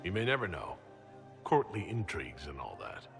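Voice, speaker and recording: A man playing a composed, wary leader in a fantasy game, speaking in a measured, guarded way. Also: deep voice, very animated, creaky, over music, exaggerated voice. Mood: cool, polite. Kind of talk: fantasy game cutscene acting.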